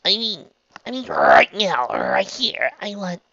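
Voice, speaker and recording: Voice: medium pitch, expressive, vocal fry, pushed and bright, no room sound, lo-fi sound, voice pushed up. A narrator voicing an emotional young female unicorn in a storybook, stammering, apologetic and unsure of herself. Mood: hesitant, yearning. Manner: stammering, halting.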